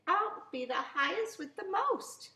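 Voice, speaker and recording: A woman's voice: extremely high pitch, animated, a little slow, sharp tone, voice pushed up, exaggerated voice. A woman voicing a small, earnest wooden toy man in a children's storybook. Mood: eager, boastful. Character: small wooden toy man, eager, proud, easily embarrassed. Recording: echoey room.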